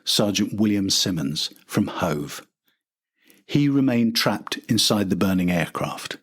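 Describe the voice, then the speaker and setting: Older man, low slightly husky narrating voice. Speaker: podcast narrator and host. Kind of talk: scripted documentary narration.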